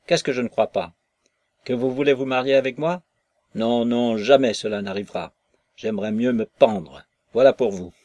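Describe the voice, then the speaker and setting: Guy doing a bitter drunk young woman prisoner, sharp-tongued, loud, dry close sound. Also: on the low side, animated, normal speed, no room sound, muffled recording.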